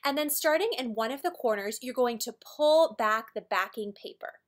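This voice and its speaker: A woman presenting a scripted how-to tutorial, explaining each step in a clear, orderly way. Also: on the high side, animated, moderate pace. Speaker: tutorial presenter for a decor company. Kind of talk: scripted instructional narration.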